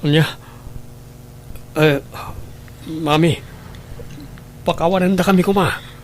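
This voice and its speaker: A man's voice: on the high side, steady tone, takes it slowly, a little hiss or hum. A man playing a greedy, entitled young adopted son with an Ilocano accent in a radio drama. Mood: shocked, pleading.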